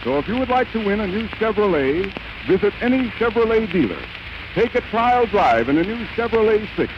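A man's voice: sits high, very animated, a little fast. A man announcing a scripted 1930s radio advertisement in a formal, carefully enunciated sales manner. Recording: muffled, noisy 1930s radio recording.

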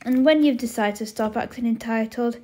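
Woman as a bossy, exasperated big sister, mellow-voiced. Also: on the high side, normal speed, close to an everyday voice. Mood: fed up. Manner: scolding, stern, exasperated.

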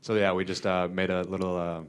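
A young man, a college basketball player, giving brief, low-key answers with some hesitation at a postgame press conference into a table mic. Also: flat delivery, brisk, clear, resonant tone. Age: young adult. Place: press conference room with sponsor backdrop. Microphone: table mic in front.

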